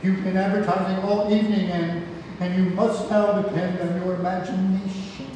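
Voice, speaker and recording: A man's voice: high voice, little pitch movement, moderate pace, natural voice. A man at a play read-through acting a protective, earnest grandfather who poses as a golden idol. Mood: firm, earnest. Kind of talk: stage play table read. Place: theatre room, recorded from far off. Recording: distant echoey hall, clear background noise.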